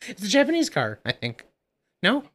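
A man's voice: huge pitch swings, normal speed, pushed and bright. A man co-hosting a casual podcast chat, joking around and laughing. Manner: jokey, breaks into laughter and squeaky high put-on voices. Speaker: podcast co-host.

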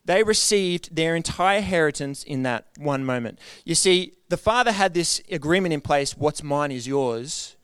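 A man's voice: a little high, expressive, normal speed, few pauses, a bit bright, smooth, no echo. A man preaching a sermon to his church in an earnest, conversational way, often asking the congregation questions. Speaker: pastor.

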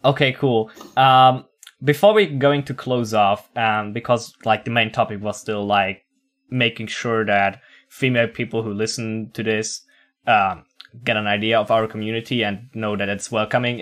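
A man's voice: on the low side, a bit bright. A man hosting a casual podcast interview, chatty, leaning on "like" and laughing often. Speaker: podcast host.

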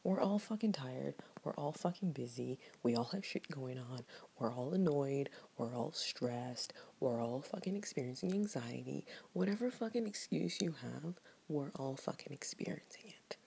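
A woman's voice: a bass voice, animated, normal speed, a little mellow. A woman whispering a blunt, profane, fired-up rant in a casual vlog. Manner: whispered, breathy delivery.

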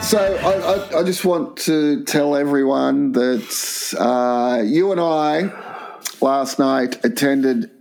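Dude hosting a comedy show, deadpan and crude, a bit high pitched. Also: male voice, slow, vocal fry, over music, low-quality recording. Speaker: comedy podcast co-host. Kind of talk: improvised comic banter.

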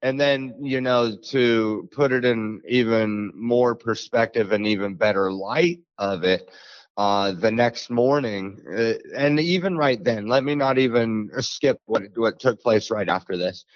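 Slow-talking deep-voiced man. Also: no echo. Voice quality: deep bassy voice. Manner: halting, many uh fillers and restarts. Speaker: podcast guest. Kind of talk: storytelling an encounter. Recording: dull lo-fi dry sound.

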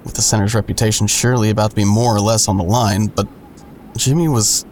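A man's voice: lowish voice, steady tone, fast talker, a little mellow, a little hiss or hum, close to an everyday voice. An actor playing a wry, world-weary narrator in an audio drama, telling his story in dry, sardonic asides. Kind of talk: first-person noir-like audio drama narration.

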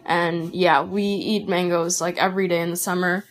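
A woman's voice: on the low side, level tone, moderate pace. Casual woman from Queens. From Queens, New York. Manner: casual, says "like" often. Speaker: interviewee. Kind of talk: casual personal story.

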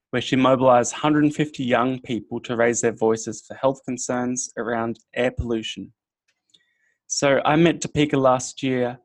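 A man hosting a podcast interview, introducing his guest enthusiastically and often restarting his phrases. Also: medium pitch. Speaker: podcast host.

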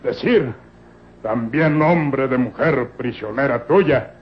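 A muffled old radio serial recording carries a humble, devoted Ethiopian slave, acted by a man. He speaks extremely slowly in halting, broken phrases, submissive to his master. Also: medium pitch, natural voice. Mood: urgent, submissive. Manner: broken speech in bare infinitives, halting.

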